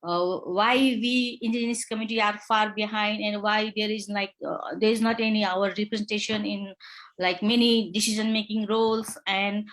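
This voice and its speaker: A young woman from Nepal, a human rights lawyer, answering interview questions haltingly, with many fillers and restarts. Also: mid-range voice, fairly even intonation, fairly bright tone, poor audio.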